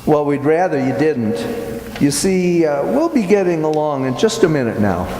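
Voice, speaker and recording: Man acting a mellow, patient straight man. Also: on the high side, very animated, normal speed. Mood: politely patient. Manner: polite, mildly scolding. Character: patient, fussy straight man and guardian of dummy. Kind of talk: old-time radio comedy acting. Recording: echoey hall, noisy background.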